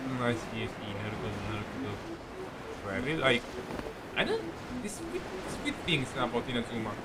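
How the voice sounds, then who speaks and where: A man streaming a video game with casual, rambling commentary, halting and jokey, often repeating his words. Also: medium pitch, wildly swooping pitch, very noisy. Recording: game music behind the voice. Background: game music.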